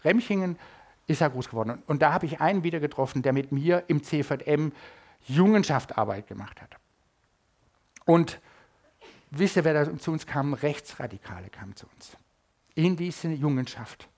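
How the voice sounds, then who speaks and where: A middle-aged man from Germany, preaching a sermon at a church service and swinging between loud emphasis and quiet asides. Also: on the high side, expressive, moderate pace, long pauses.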